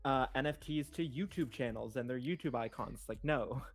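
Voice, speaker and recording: A young adult man co-hosting a casual podcast, reacting in an informal, expressive and exclamatory way. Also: medium pitch, normal speed. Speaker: podcast co-host. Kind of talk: casual chat, reacting and bantering.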